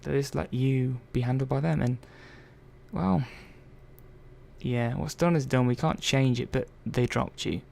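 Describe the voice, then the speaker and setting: A man's voice: mid-range voice, unhurried, gravelly, darkish tone. A man playing a chatty, caring robot technician in an ASMR roleplay, talking hesitantly and reassuringly to one listener. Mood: apologetic, rambling. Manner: hesitant, rambling, reassuring, murmured asides. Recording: faint background noise and ambience.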